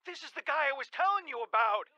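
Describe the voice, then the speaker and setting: Male: exuberant believer pal, high voice, excitable, warm-hearted, radio drama. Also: fast, full character voice, rough recording. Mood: overjoyed. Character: exuberant, devout male friend on the phone.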